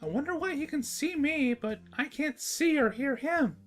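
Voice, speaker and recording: A woman puts on a young male dragon for a cartoon story, cheeky and laid-back. The delivery is casual and wry, raised in pitch, with faint music behind. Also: expressive, normal speed. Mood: curious. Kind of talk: cartoon fan-fiction voice acting.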